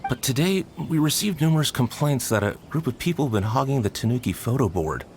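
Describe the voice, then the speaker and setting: An actor playing an exasperated festival staff worker who sighs over complaints, in video game dialogue. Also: male voice, medium pitch, lively intonation, quick pace, a little mellow, a little hiss or hum, close to an everyday voice. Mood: harried. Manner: weary, sighing, exasperated.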